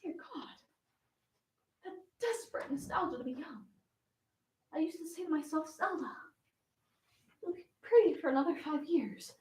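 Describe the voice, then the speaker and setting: A flamboyant Southern belle flapper, acted by a woman in a high-pitched voice, holding forth in a dramatic stage monologue. Her Southern carries a wistful, coquettish theatricality. The sound is distant from the microphone, with plenty of room echo. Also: lively intonation, unhurried, pauses often, a bit bright. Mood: bitter nostalgia. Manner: dramatic, swinging from coy reminiscence to defiant outbursts.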